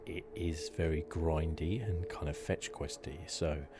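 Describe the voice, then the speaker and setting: A soft-spoken man giving hesitant, rambling ASMR commentary as host of a video game playthrough. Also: a bass voice, steady tone, unhurried, noisy background.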